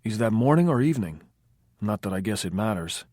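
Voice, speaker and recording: A man voicing a cocky, blunt small male drummer, telling rough stories. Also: fairly low pitch, big pitch swings, brisk, darkish tone, no room sound, clean studio sound.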